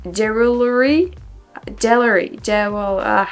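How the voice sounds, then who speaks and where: Young french woman vlogging, french accent, repeats english words over and over trying to get them right, laughs a lot, bit of music behind. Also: fairly high pitch. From France. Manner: thinks aloud, repeats words slowly to try pronunciations, laughs often. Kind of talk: casual reaction vlog.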